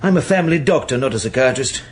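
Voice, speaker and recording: An actor playing a middle-aged family doctor in a radio drama, a careful, evasive old friend who speaks in a measured, reassuring way. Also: male voice, mid-range voice, animated, lightly acted. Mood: cautious, measured. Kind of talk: naturalistic radio drama acting.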